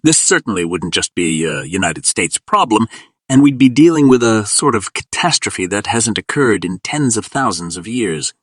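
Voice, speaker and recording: A man playing a calm, cautious volcano scientist in a measured, formal and reassuring expert interview. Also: lowish voice, slightly rough, no echo, studio quality.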